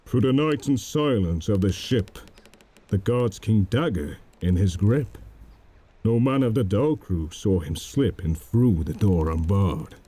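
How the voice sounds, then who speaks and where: A man narrating a dramatic poem in a solemn, measured manner. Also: on the low side, warm tone, husky. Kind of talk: dramatic poetry recitation.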